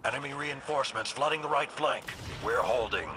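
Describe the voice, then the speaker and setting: A man's voice: mid-range voice, brisk, noisy background, close to an everyday voice. An actor playing a steadfast male veteran warrior in a video game, giving clipped military reports. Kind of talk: video game battle dialogue. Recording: loud game music behind.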